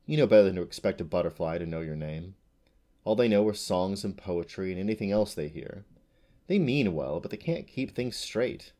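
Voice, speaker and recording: A man voicing a gentle, lonely female unicorn who speaks softly and wistfully. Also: deep voice, animated, normal speed. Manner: soft, pleading, wistful. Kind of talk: audiobook character voice.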